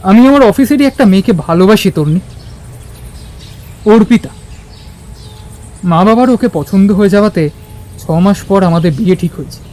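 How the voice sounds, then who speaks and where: An earnest, high-voiced young man. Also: animated, moderate pace, long pauses, dark tone. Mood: hesitant, earnest. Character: gentle, earnest young man, careful and apologetic. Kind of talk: audio drama acting. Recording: music under the voice.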